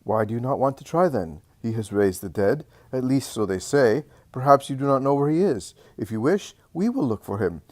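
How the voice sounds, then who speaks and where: A man's voice: mid-range voice, lively intonation, moderate pace, hardly any pauses, a little mellow, dry recording, studio quality, natural voice. A man playing a pompous, mocking male religious elder, insinuating and insistent in questioning, in a dramatic story reading. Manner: insistent, smooth-tongued questioning.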